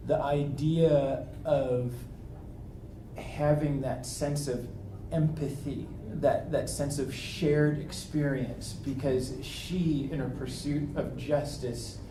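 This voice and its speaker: Thoughtful male correspondent in echoey hall. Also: mid-range voice. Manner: thoughtful, many restarts, slows down on serious points. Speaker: foreign correspondent on a panel. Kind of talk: panel answer, reflective storytelling. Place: convention meeting room. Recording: echoey room with background noise.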